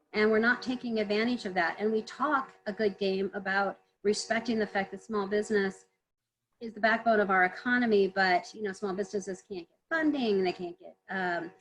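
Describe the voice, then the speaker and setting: A woman guest on a video call webinar, answering earnestly, clearing her throat often as she is losing her voice. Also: medium pitch, normal speed, rough recording. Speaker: trade consultant guest.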